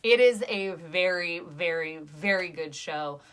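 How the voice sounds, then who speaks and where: A young woman chats through a podcast review, enthusiastic and casual. Her voice is bright and a bit edgy, sitting on the lower side. She rambles a little, with plenty of likes and ums. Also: unhurried, clear, resonant tone. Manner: chatty, enthusiastic, many fillers. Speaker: podcast reviewer, channel host.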